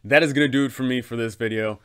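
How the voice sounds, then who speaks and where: A man in his thirties explaining tabletop game mastering to camera, enthusiastic and emphatic, with dramatic pauses. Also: medium pitch, steady tone, clean tone.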